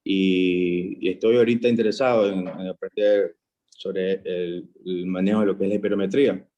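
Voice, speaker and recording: A man from Ecuador, a general physician, introducing himself on a video call in a friendly but halting way. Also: deep voice, fairly even intonation, dark tone. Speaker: general physician, course participant. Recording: dull lo-fi video call audio.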